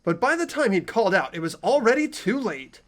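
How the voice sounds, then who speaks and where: A man reading a visual novel aloud in a theatrical, expressive way, with casual asides as a player. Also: sits high, fairly quick. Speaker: player voicing and narrating in a fan playthrough.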